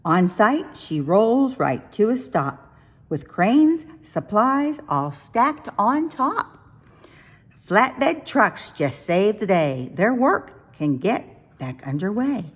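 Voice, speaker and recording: A woman reading a rhyming picture book aloud for young children, with expressive, sing-song, deliberate phrasing. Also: mid-range voice, slightly rough. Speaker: children's storybook reader.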